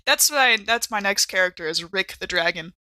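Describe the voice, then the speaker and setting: Female tabletop player. Bright tone, informal joking chat, recorded dry with little room sound. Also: mid-range voice, lively intonation, moderate pace, dry recording. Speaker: player in role-playing podcast.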